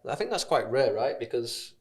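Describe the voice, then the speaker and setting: A man's voice: medium pitch, very animated, normal speed. A man hosting a podcast in casual interview chat, with a teasing, bantering manner. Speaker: podcast host.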